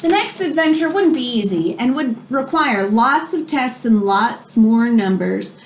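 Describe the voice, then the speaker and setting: A woman reading a children's book aloud in an expressive way, as if explaining it to young listeners. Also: fairly high pitch, a little slow, slight background noise. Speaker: read-aloud storyteller.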